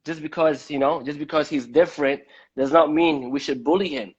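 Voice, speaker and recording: A man from Malaysia hosting a conversational live-stream interview, speaking haltingly and often repeating words. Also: fairly high pitch, fairly even intonation, husky. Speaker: host of a live debate. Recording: dull, lo-fi live-stream audio.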